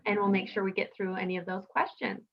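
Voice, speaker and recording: A woman's voice: medium pitch, little pitch movement, quick pace, gravelly. A woman hosting an online interview in an effusive, enthusiastic manner over a video call. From Wisconsin. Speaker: interview host. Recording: dull, lo-fi video call audio.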